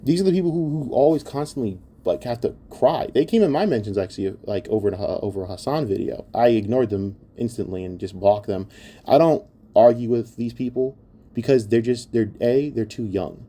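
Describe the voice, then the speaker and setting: A man's voice: medium pitch, a little fast, warm tone. A man giving an opinionated commentary rant in a blunt, exasperated and scornful manner, swearing heavily. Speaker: online commentator.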